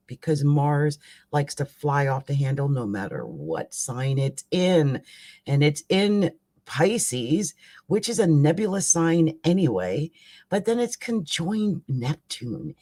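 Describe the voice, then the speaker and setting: Woman, raspy voice, live astrology host. Loose, conversational delivery with emphatic asides. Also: low-pitched, a little mellow. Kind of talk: casual live astrology chat.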